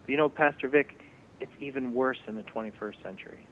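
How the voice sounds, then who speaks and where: Co-host, male, measured and fact-heavy delivery, tinny lo-fi line with faint hum. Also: medium pitch. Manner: reels off statistics, measured. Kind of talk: conversational broadcast discussion.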